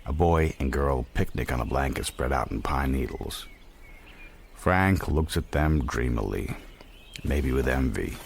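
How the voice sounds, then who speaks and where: An actor playing a worldly, watchful man narrating a radio drama in the first person, introspective, measured and dry. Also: extremely low pitch, expressive, vocal fry, slight background noise, heavily acted. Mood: reflective. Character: worldly, reflective, watchful male narrator-protagonist.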